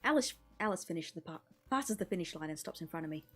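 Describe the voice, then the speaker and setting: A woman's voice: lowish voice, animated, fast talker, a bit bright. A woman streamer casually narrating a visual novel's script aloud. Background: music.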